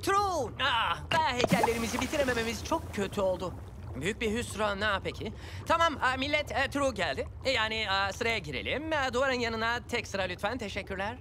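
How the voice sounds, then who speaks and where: An actor voicing a boastful, fussy purple cartoon cat who calls himself an expert, talking theatrically. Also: male voice, very high-pitched, lively intonation, brisk, noisy background. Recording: loud music behind.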